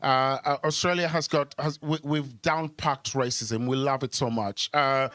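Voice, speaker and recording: A man's voice: medium pitch, steady tone, a little slow, a bit bright, no room sound, clean studio sound. A man from Kenya doing conversational stand-up on a club stage microphone, hesitating and repeating words as he goes. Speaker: stand-up comedian. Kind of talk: live stand-up comedy, conversational storytelling with crowd work.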